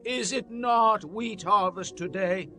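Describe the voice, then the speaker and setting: A man's voice: high voice, slow pace, sharp tone, full character voice. A man playing a stern, grey-headed old prophet admonishing his people in solemn, declamatory Bible drama. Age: older. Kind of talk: dramatized King James Bible reading. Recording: music bed under the voice.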